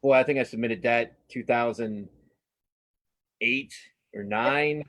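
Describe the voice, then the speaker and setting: A man's voice: mid-range voice, slow pace, a bit bright. A man chatting casually as an interview guest, informal and self-deprecating. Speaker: guest cartoonist.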